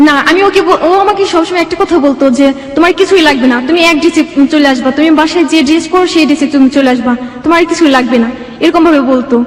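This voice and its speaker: A woman's voice: sits high, rapid-fire. A young Bangladeshi woman telling her own life story as an interview guest. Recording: echoey room with long reverb.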